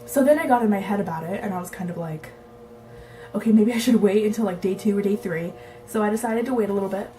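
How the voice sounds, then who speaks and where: A woman's voice: medium pitch, quick pace, dark tone, soft background music, poor audio. Young woman rambling casually to her camera, bit stuffy from a cold, lots of "um" and "like". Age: young adult. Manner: chatty, laughs often, many fillers. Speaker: vlogger.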